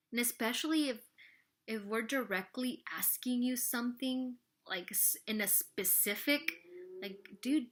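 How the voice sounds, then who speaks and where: Woman, 30s, vlogger, bright chatty voice, rambling, casual Q&A to camera. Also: mid-range voice. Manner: rambling, full of "like" and "you know". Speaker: vlogger answering viewer questions.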